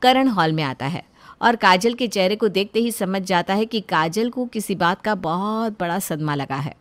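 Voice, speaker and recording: A woman's voice: medium pitch, animated, moderate pace. A woman storyteller giving scripted narration for a cartoon moral tale.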